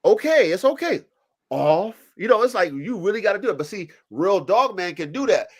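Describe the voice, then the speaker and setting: Stuffed up dude on a live podcast, kinda high voice, swears a lot, acts stuff out. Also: male voice, moderate pace. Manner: animated, profane, acts out dogs and owners in quoted voices. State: congested from allergies. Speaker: podcast host. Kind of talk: casual chat. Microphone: live stream mic.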